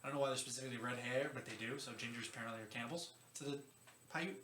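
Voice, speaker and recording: A man's voice: mid-range voice, racing, pushed and bright. A man hosting a podcast in a casual, joking, informal explainer style, stumbling over unfamiliar names. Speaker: podcast host. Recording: roomy echo, faint background noise.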